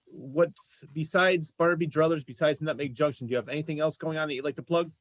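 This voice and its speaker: Male host, voice a bit high, pretty dry sound, keen and chatty with his guests. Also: fast, a bit bright, dry recording. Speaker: show host. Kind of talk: casual chat, interviewing guests.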